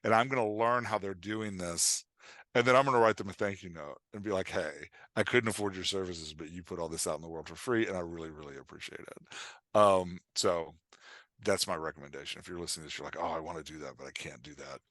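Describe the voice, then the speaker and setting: A man's voice: low-pitched, fast talker, fairly bright tone, dry recording, clean studio sound. A man speaking as a guest writing coach on a podcast, explaining things in an informal, emphatic way with quoted examples. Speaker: guest author and dialogue coach.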